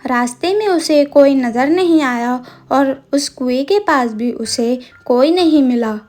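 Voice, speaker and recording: A woman's voice: high voice, a little slow, darkish tone, soft background music. A woman narrating a scripted cartoon story as the storyteller.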